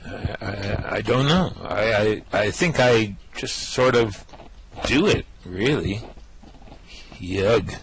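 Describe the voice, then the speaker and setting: Guy doing big dumb alien guard, radio play comedy, slow plodding bully, kinda low, bit of background noise. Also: expressive. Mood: hesitant, dim. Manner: hesitant, plodding, shouting stock phrases. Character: dim, bullying male alien guard, bored yet dutiful. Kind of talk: comic sci-fi radio play acting.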